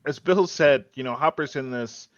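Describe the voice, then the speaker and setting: Halting male podcast host, dry muffled sound. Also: medium pitch, a bit bright, dry recording. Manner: halting, repeats words as he thinks. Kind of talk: casual TV show discussion.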